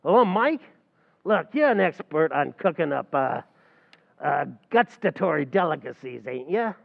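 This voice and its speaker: A man playing gravelly wisecracking Archie. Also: a little high, sing-song, a little slow, heavily acted. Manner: wisecracking, malaprop-prone, brash. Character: Archie, fast-talking scheming tavern manager, man. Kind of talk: old-time radio comedy recreation. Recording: tinny, phone-like recording.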